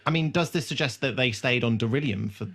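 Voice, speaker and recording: A man co-hosting a casual comedy podcast, chatting in a sweary, animated way and often laughing. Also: medium pitch, fast. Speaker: podcast host. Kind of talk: casual comedic chat about a TV show.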